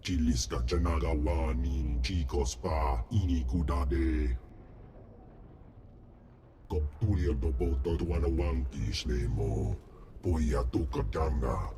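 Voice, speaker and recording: An actor playing a huge male alien Hutt crime boss, speaking an invented alien language in a booming guttural, self-important way for a video game. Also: takes it slowly, mellow, faint music behind, heavily acted. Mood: ponderous.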